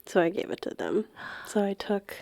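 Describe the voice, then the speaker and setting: A woman podcast co-host chatting casually in a hushed, whispered ASMR style. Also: mid-range voice, sing-song, normal speed, creaky, dry recording.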